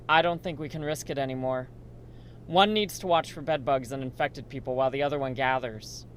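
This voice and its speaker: A man playing a steady, reassuring survivor and research partner in a horror radio drama, speaking earnestly. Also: medium pitch, normal speed, pauses often, background noise, natural voice. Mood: urgent.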